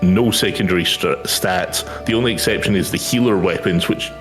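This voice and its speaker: Low-voiced man reading a game guide aloud. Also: moderate pace, over music. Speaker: gaming companion reading quest text and guide aloud. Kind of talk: casual gaming chat and reading aloud.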